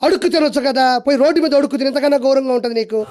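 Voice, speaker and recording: A man delivering a mocking political rant in a scornful, insulting, forceful manner. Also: very high-pitched, very fast.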